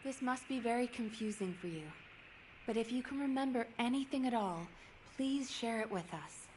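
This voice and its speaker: A businesslike female operations commander voiced by a game actress with a fairly high voice. She is direct, measured and formal, heard over faint noise. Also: lightly acted, low-quality recording. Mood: gentle, probing. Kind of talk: scripted video game dialogue.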